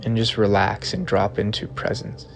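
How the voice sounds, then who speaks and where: A man narrating a guided meditation in a measured, deliberate way, in short phrases separated by long pauses. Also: lowish voice, little pitch movement, gravelly. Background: background music.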